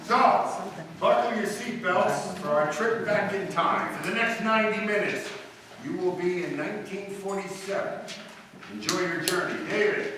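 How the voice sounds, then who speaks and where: A man's voice: sits high, normal speed. Guy welcoming people to a live show, very echoey room, noisy and distant. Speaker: host introducing a live radio show. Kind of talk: scripted stage welcome. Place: library hall before an audience. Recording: echoey hall, distant mic, clear noise.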